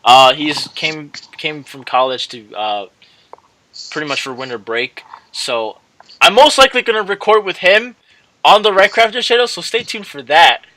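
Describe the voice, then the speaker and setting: A man's voice: on the high side, normal speed, sharp tone, dry recording, low-quality recording. A young man hosting a gaming channel, chatting casually in a rambling, hesitant way that often repeats and trails off. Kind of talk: casual rambling video outro.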